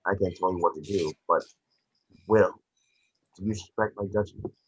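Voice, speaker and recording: A man's voice: low voice, fairly even intonation, moderate pace, husky. A man playing a calculating, plain-spoken crime investigator boss in a script table read, insistent in his delivery. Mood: urgent, persuasive. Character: middle-aged male crime investigator boss, persuasive and calculating. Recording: muffled, roomy video-call audio.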